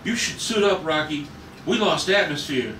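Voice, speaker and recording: A man's voice: fairly high pitch, lively intonation, moderate pace, close to an everyday voice. A man playing an eager, obedient young space-ranger co-pilot in a table read of a retro sci-fi serial. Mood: brisk, concerned. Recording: roomy, distant mic with loud noise.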